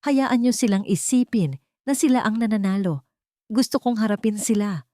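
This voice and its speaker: A woman's voice: mid-range voice, animated, moderate pace, mellow, dry recording, clean studio sound, pitched above the performer's own voice, close to an everyday voice. Woman acting cool, defiant wronged bride. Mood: resolute. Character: calm, determined young wronged bride taking revenge.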